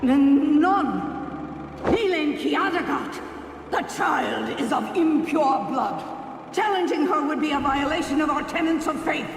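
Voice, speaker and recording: High-pitched, echoing stern clan elder. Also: male voice, animated, measured pace, a little hiss or hum, exaggerated voice. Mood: outraged. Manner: formal, solemn proclaiming. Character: stern elder of a witch clan, ceremonial and rigid. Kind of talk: video game cutscene acting. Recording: echoing hall, distant voice.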